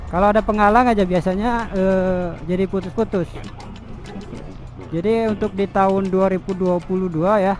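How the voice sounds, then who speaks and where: A high-pitched man talks casually into a helmet intercom headset. He explains things like a motovlogger reviewing gear, with faint music behind him. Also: fairly even intonation, normal speed. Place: outdoors, riding a motorbike on the road.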